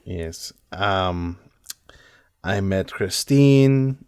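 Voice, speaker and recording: A man's voice: low-pitched, lively intonation, drawn-out words, a little mellow, clear, resonant tone, no echo, studio quality, voice pushed down, exaggerated voice. A man playing an earnest, naive, heartbroken young nobleman in a tabletop game, speaking in a formal, theatrical way. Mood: forlorn.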